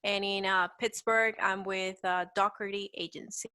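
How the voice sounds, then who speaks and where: A woman's voice: mid-range voice, little pitch movement, normal speed, bright, forceful tone, smooth, dry recording. A woman in her twenties from El Salvador with a Salvadoran Spanish accent, chatting casually and upbeat as an interview guest. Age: 20s. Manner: chatty, upbeat, says "like" often. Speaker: guest, petite model.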